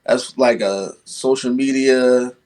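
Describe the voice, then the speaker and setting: A man's voice: medium pitch, fairly even intonation, takes it slowly, darkish tone. A young man from Guyana, a podcast guest, chatting casually and padding his talk with "you know what I'm saying.". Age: young adult. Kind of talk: casual conversation.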